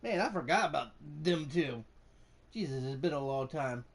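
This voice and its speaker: A man's voice: on the high side, animated, moderate pace. A man giving casual gameplay commentary in short, muttered reactions. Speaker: gameplay streamer. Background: loud game music.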